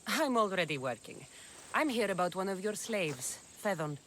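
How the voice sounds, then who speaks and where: A bold mercenary heroine from a video game. She is assertive and wry, mid-pitched with an animated melody to her lines. Music plays underneath. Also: normal speed, a little hiss or hum. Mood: firm. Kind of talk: video game cutscene acting.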